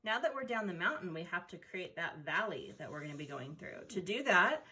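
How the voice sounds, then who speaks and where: A woman's voice: on the low side, very animated, fairly quick, sharp tone. A woman art teacher talking children through a craft step by step in an informal way. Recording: noticeable background noise.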